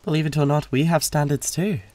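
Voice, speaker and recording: A man's voice: fairly high pitch, fairly even intonation, brisk, darkish tone, dry recording, close to an everyday voice. A British man playing a suave, condescending, flirtatious demon in a theatrical roleplay audio drama, with a haughty drawl. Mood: seductive.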